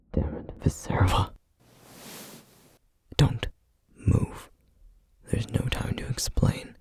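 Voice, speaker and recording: A man playing a protective, duty-bound flame demon in an ASMR roleplay, speaking in a hushed, gravelly, halting and intense way. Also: natural voice. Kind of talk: ASMR roleplay, scripted character acting.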